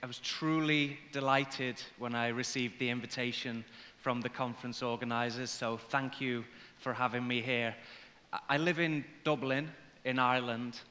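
A man in his forties from Liverpool with an English accent, giving a conference presentation in measured phrases. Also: mid-range voice, fairly even intonation, bright, forceful tone. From Liverpool, England. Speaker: corporate conference speaker. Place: large indoor conference hall, on stage. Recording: reverberant hall sound from stage.

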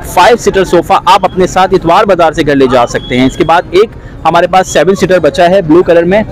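A man hosting a walking vlog at an outdoor street market, cheerfully pitching furniture and repeating prices back to the viewer. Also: fairly quick, rough recording. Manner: upbeat promotional patter, repeats prices back. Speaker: vlog host. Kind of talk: walking market tour, bargaining with sellers.

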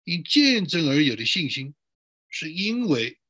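A male pastor giving a sermon in an emphatic way, building up repeated lists and rhetorical questions, with a husky voice. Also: on the high side, big pitch swings, measured pace, pushed and bright, no echo. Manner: emphatic, repetitive listing.

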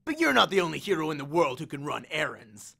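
A man's voice: a little high, big pitch swings, quick pace, gravelly, sharp tone, breathy edge, full character voice. A man voicing a swaggering, menacing biker war god with a cocky, taunting, slangy way of talking, as an audiobook character. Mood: gleeful, taunting.

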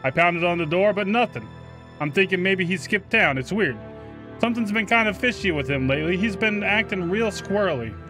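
A man's voice: high voice, expressive, a little fast, pushed and bright, soft background music. Man putting on a gossipy, uneasy mobster. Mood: suspicious, confiding. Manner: colloquial, gossipy, confiding. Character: chatty, uneasy mobster writing to a pal.

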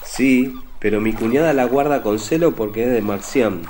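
A man's voice: medium pitch, moderate pace, darkish tone, slight background noise, natural voice. An actor playing an affectionate, playfully mocking fisherman brother in a religious radio play. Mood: amused teasing.

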